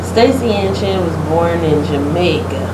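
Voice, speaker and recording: Unhurried woman chatting in an echoey room. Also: on the low side, lively intonation. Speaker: podcast co-host. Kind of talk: casual chat, giving opinions on poetry. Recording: roomy echo, clear background hum and noise.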